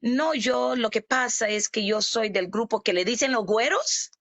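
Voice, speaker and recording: A woman's voice: on the high side, a little fast, fairly bright tone, dry recording. A woman from Honduras with a Caribbean accent, earnestly telling her own stories as a guest in a discussion. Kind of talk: casual discussion.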